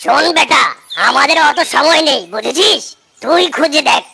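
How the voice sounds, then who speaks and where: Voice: sits high, normal speed, creaky, very noisy. An actor voicing a bossy, suspicious older male animal neighbour in a Bengali cartoon, exclaiming and scolding.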